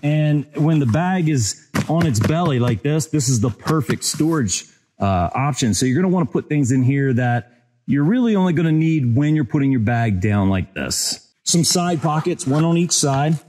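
A man's voice: a little high, normal speed. A man in his forties reviewing a hiking backpack in a casual, conversational way, filming himself on a wooded trail. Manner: relaxed, conversational, many fillers. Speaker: outdoor gear reviewer. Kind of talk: casual gear review vlog. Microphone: handheld action camera at arm's length.

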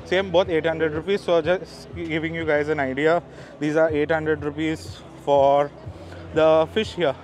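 A man's voice: a little high, little pitch movement, unhurried. A man vlogging a walking tour of a beach, chatting casually to his viewers with plenty of fillers. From India. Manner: chatty, addresses viewers as guys, many fillers. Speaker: travel vlogger. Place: outdoors on a busy beach. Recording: outdoor noise behind the voice.

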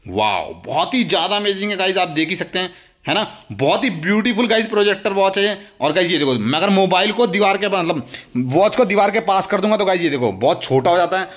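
A man's voice: sits high, expressive, fairly quick, fairly bright tone. An Indian man hosting a toy review, enthusiastically showing items and repeatedly addressing his viewers. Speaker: toy review channel host. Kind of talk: excited toy unboxing commentary.

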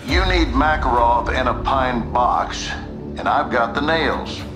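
An actor playing a slick, smug, disgraced army general making his pitch in a video game cinematic. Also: lively intonation, takes it slowly. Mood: persuasive. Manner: smug, folksy salesmanship. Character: slick, scheming disgraced general, smugly self-assured. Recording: roomy echo, music behind.

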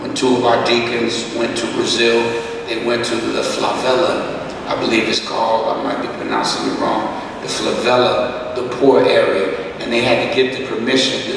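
Middle-aged pastor, kinda rough gravelly voice, lots of uhs, big echoey church hall heard from far off. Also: male voice, mid-range voice. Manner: conversational, halting with many fillers. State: slightly rough voice. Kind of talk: Bible study teaching. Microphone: podium microphone, far camera. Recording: echoey hall, distant mic, background hiss.

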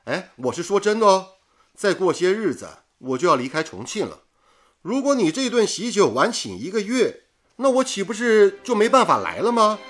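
An actor playing a jovial, boyish young radio station worker in a radio drama, playfully teasing and fond of exaggeration. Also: male voice, high voice, big pitch swings, normal speed, fairly bright tone, full character voice. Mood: cheerful, earnest.

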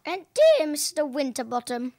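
A woman's voice: high voice, very animated, moderate pace, dark tone, dry recording. A woman acting a gracious, commanding ninety-year-old lady in an amateur radio play, formal and genteel. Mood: gracious, expectant.

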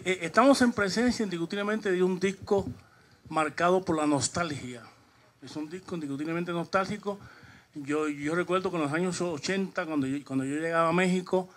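A middle-aged man, a journalist asking a question at a press conference in a halting, repetitive way. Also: on the high side, fairly bright tone. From Cuba. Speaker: newspaper journalist.

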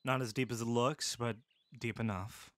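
A man voicing a calm, caring male medic soldier who speaks steadily, seriously and with compassion. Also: mid-range voice, normal speed, no echo, natural voice. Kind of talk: full-cast audiobook acting.

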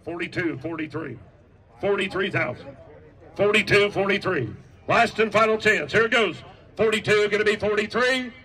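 A man working as an auctioneer, calling bids in a rapid, rhythmic chant at an outdoor estate sale. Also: high-pitched, sharp tone. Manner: rhythmic repetitive bid calling. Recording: distant voice outdoors.

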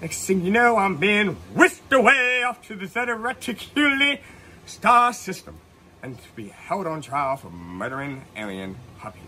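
A man's voice: high-pitched, big pitch swings, moderate pace, bright, forceful tone, husky, full character voice. A man playing an earnest oddball who tells of alien encounters in a mock-serious, theatrical comedy monologue. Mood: mock-solemn.

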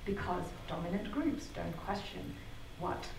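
A woman's voice: fairly low pitch, gravelly, background noise. Woman speaking from far off in a roomy hall. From Zambia. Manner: measured and articulate, with wry asides. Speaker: speaker giving a talk. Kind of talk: prepared public talk. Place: theatre hall. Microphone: venue sound picked up from the back of the hall.

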